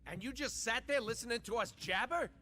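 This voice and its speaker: A man's voice: extremely high pitch, fairly quick, bright, forceful tone. An actor playing a boastful, sarcastic male raccoon rogue in a game cutscene with music behind.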